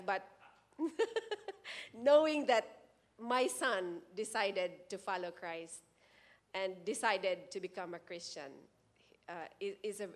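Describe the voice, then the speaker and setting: A woman in her forties sharing a personal testimony at a church service into a handheld mic, earnest and halting, often restarting her words. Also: medium pitch. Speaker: church member giving testimony.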